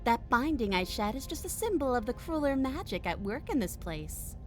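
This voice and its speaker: A menacing captive princess from a video game, proud and bitter. She taunts sarcastically in a fairly high, very expressive voice, with soft music behind her. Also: moderate pace. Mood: cold bargaining. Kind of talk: video game character acting.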